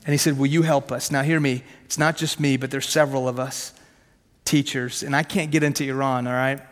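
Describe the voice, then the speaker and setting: A man in his thirties whose first language is Farsi, preaching a sermon from his own story in a punchy, conversational way, with quick rhetorical questions and self-mocking jokes. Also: mid-range voice, flat delivery. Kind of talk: sermon with personal testimony. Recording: long hall reverb.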